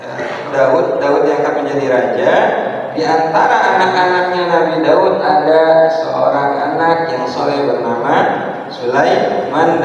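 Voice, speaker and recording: A man's voice: fairly high pitch, a little hiss or hum. A male preacher with a stuffy nose from a cold, giving a conversational dawn lesson in a mosque and checking in with the congregation. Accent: Indonesian. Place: mosque prayer hall. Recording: echoey hall from far off.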